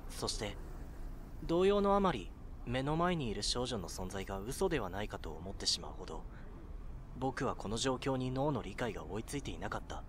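An actor playing a lovesick, awkward nineteen-year-old in an audio drama, introspective and self-deprecating. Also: male voice, medium pitch, animated, moderate pace, background noise, close to an everyday voice. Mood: stunned. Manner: introspective monologue, flustered in dialogue. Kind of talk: audio drama acting with first-person narration.

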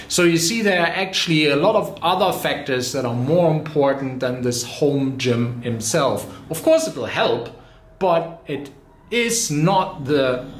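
Fitness vlogger, man in his thirties, mid-pitched, conversational delivery, roomy echo from a garage gym. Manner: conversational, explaining with frequent "you know" and restarts. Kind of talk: casual talk to camera. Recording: echoey room.

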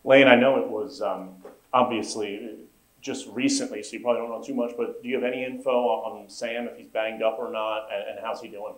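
A man's voice: fairly low pitch, steady tone, fairly quick. A man asking rambling, hesitant questions at a press conference. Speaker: reporter and moderator. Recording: echoey room.

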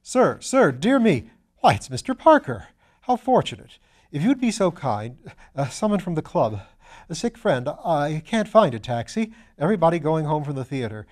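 A man voicing an agitated, ingratiating gentleman surgeon, pleading in hurried, effusive gasps in a storybook reading. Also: on the high side, sing-song, pitched above the performer's own voice. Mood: frantic pleading.

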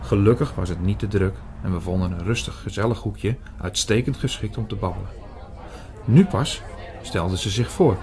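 A man playing an earnest, shy priest who narrates an audio drama in a measured, reflective way, hesitant in dialogue. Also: lowish voice, crowd noise, lightly acted.

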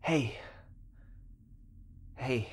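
A man's voice: drawn-out words, a little hiss or hum. A man playing an earnest young boyfriend in an ASMR roleplay, protective and tender, speaking with urgent reassurance. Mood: tender, reassuring.

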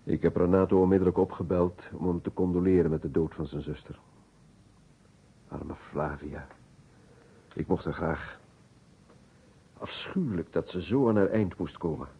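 An elderly professor of surgery in a radio drama, courteous and fond of talking. His very low voice is smooth and deliberate, heard through a narrow, muffled old recording. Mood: musing, courteous. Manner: deliberate, reminiscing, searching for names. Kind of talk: Dutch radio play acting.

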